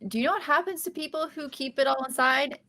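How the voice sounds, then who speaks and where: Woman reading quirky young woman, chatty, earnest, a bit goofy, slightly high voice, sitcom table read. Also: fast talker, sharp tone, a little hiss or hum. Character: quirky, upbeat young woman nursing a breakup. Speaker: podcast host reading a part.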